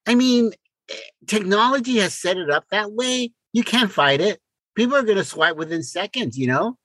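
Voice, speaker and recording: An older man chats casually as a podcast co-host. His voice is bright and a little high, close and dry. He stammers, doubles back on words and exclaims his points. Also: expressive, normal speed, dry recording.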